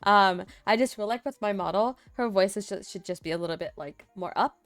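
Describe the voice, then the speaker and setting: A young woman streamer in casual chat at home, talkative and laughing often, acting out quotes in a higher voice. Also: brisk, dry recording, faint music behind. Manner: chatty, laughs often, acts out quotes in a high voice. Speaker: streamer host. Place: home room.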